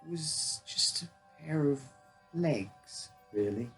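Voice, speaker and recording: A man with a husky voice reading his own first-person uncanny story as a scripted narration. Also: on the high side, lively intonation, slow pace, a bit bright, soft background music.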